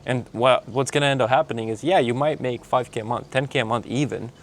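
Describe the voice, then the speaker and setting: A man's voice: mid-range voice, fast talker, a little hiss or hum. Blunt Mexican-accented man giving a pep talk. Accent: Mexican Spanish. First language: Spanish. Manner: blunt, pep-talk delivery, calling the listener man and bro. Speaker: sales coach. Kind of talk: motivational vlog monologue. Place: outdoors while traveling. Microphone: handheld camera filming himself.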